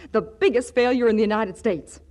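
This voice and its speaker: An actress in a 1940s radio comedy playing a shrewd, brisk publicity woman with a snappy, wisecracking manner. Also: a little high, darkish tone, lightly acted. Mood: scheming, eager.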